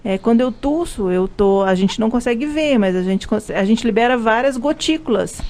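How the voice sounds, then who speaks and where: A woman's voice: a little high, lively intonation, normal speed, a little mellow. A Brazilian woman, a pharmacist, explaining health topics in a live radio interview, with frequent restarts. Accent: Brazilian Portuguese. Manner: explanatory, many restarts and fillers. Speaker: pharmacist guest.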